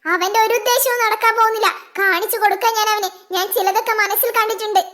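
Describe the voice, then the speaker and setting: Woman voicing a squeaky, feisty captive wife. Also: fairly even intonation, fast, sharp tone. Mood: defiant. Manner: bold, taunting. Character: defiant, feisty kidnapped young wife. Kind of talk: amateur cartoon dub in Malayalam.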